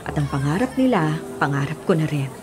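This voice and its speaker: A radio-drama mother in middle age, hardworking and selfless. Her voice sits a little low and sounds earnest and weary, with music playing behind it. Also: very animated, a little fast. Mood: earnest, resolute. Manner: earnest, principled, pleading when pressed. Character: hardworking, self-sacrificing middle-aged mother and tutor, patient but weary. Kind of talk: Filipino radio drama acting.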